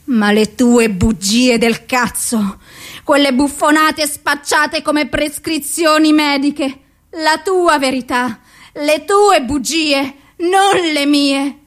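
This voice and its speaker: A bitter, despairing woman. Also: high-pitched. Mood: bitter, accusing. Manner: slow, intense, bitter delivery with heavy pauses. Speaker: radio drama actress. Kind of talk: radio theatre monologue.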